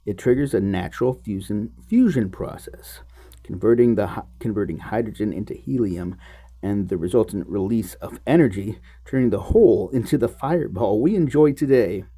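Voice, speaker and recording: Male book reader, musing and expressive, lively pitch swings over a creaky, fry-edged tone. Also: moderate pace. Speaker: host reading a book chapter aloud. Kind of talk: read-aloud of a book with offhand asides.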